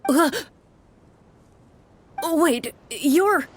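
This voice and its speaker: A woman voicing an anxious, excitable and earnest male townsperson in a video game, with a dramatic, effusive delivery. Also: sits high, very slow, pitched above the performer's own voice, heavily acted. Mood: astonished, overjoyed. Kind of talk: video game character acting.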